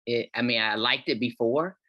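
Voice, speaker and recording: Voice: mid-range voice, moderate pace, dry recording, highs cut off. A table read participant giving informal feedback in casual conversation on a video call. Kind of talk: casual chat.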